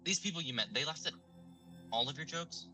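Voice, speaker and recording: An actor playing a laid-back, sardonic young stoner in an audio drama, casual and dry. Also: male voice, fairly high pitch, lively intonation, a little fast, bright, forceful tone, faint music behind.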